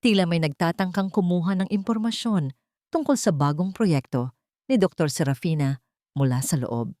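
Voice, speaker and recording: A woman's voice: lowish voice, normal speed, warm tone, no echo, clean studio sound, natural voice. A woman voicing an anxious, deferential secretary in a narrated drama. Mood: nervous. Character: nervous secretary reporting trouble over intercom.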